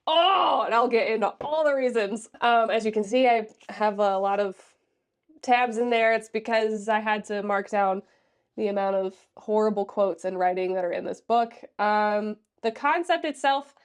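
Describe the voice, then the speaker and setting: Congested woman in her late twenties, sassy book vlogger, chatty, stuffed-up nose, voice in an ordinary middle register. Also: medium pitch. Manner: sassy, chatty, trails off with fillers. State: congested from allergies. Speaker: book reviewer. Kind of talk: casual book review vlog.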